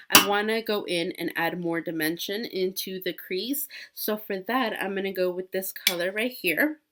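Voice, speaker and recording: A woman's voice: mid-range voice, background noise. A woman in her twenties, sick with a cold, chatting casually through a makeup tutorial with many fillers and restarts. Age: 20s. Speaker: beauty vlogger.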